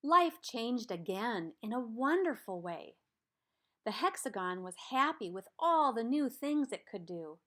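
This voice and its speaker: A woman reading a children's story aloud in an expressive, deliberate way, stressing key words. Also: on the high side. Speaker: storybook reader.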